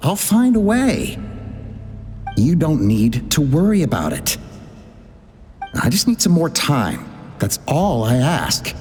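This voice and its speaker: Slow, pleading, echoing daughter. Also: female voice, low-pitched, big pitch swings, a little mellow, heavily acted. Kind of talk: English game voice acting. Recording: long reverb with music behind.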